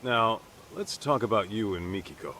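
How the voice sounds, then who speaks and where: An actor playing an easygoing, plain-spoken middle-aged private detective in an English video game dub. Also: male voice, fairly low pitch, lively intonation, normal speed, sharp tone, slight background noise. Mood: probing.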